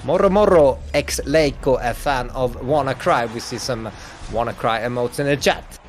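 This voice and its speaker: A man's voice: medium pitch, moderate pace, slight background noise. A man from Sweden, commentating an online hockey match in English and chatting with viewers. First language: Swedish. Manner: chatty, laughs often, reads out chat. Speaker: esports commentator. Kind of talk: play-by-play commentary.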